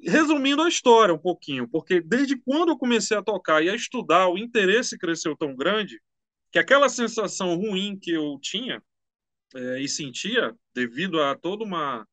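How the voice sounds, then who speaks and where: Chatty high-voiced man. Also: moderate pace, dry recording. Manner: relaxed, slangy, chatty. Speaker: musician guest. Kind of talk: casual interview storytelling.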